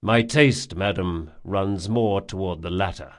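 A man playing a polite, formal and guarded gentleman client in a fantasy audiobook. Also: low-pitched, a little slow. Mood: careful, sly. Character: courteous, smooth-talking male client, formal and guarded.

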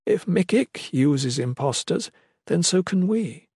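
A man's voice: a little high, animated, takes it slowly, gravelly, darkish tone, husky, no echo, pitched above the performer's own voice, exaggerated voice. Resolute, determined mother in a fantasy audiobook man reading. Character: determined, self-sacrificing mother, a resolute woman.

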